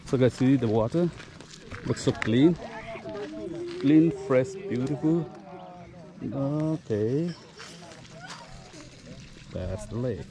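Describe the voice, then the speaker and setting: A man's voice: mid-range voice, expressive, takes it slowly, long pauses, crowd noise. A man in his forties vlogging outdoors by a lake, talking into a camera held at arm's length in a chatty, loose way. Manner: chatty, loose informal phrasing. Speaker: travel vlogger. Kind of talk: casual vlog narration.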